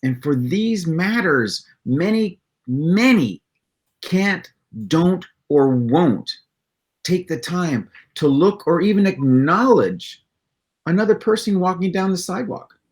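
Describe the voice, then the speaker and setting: A man's voice: high voice, expressive, slow pace. A man co-hosting a live stream, delivering a prepared monologue with punchy, dramatic emphasis and rhetorical questions. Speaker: live stream co-host and presenter.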